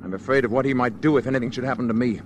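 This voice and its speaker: An actor in an old radio drama playing a composed alien visitor in human form, solemn and measured. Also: male voice, mid-range voice, lo-fi sound, background noise, natural voice. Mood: guarded, worried.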